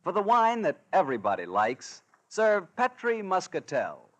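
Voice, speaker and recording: A man with an American accent announcing and pitching a sponsor in 1940s radio style, with enthusiastic, flowing salesmanship. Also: huge pitch swings, moderate pace.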